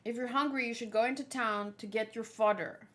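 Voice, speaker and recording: A woman plays a hurried servant of a castle in a manga read-along. The voice is bright and matter-of-fact, turning ominous as it warns travellers about the land. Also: a little high, steady tone, close to an everyday voice. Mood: grave warning.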